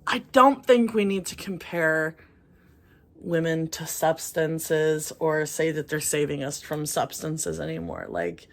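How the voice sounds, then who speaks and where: A woman's voice: low-pitched, expressive, takes it slowly, gravelly. A woman from rural Texas co-hosting a casual album review, blunt and profane, laughing often. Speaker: music review co-host.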